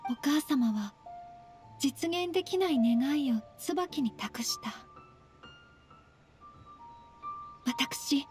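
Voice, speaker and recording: A woman voicing a polite, earnest, slightly shy young noblewoman in a Japanese anime game, speaking gently and formally. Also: high voice, expressive, slow pace. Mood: reflective. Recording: soft game music behind.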